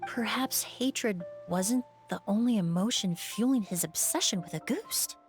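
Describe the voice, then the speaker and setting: A woman voicing a composed, thoughtful young woman in a video game, grave and articulate in a measured way. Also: mid-range voice, soft background music, natural voice. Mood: grave, reflective.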